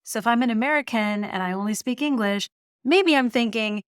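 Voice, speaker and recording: A middle-aged woman from Canada, a marketing executive telling stories in a podcast interview, animated and laughing often. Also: a little high, fairly quick, smooth, no echo, studio quality.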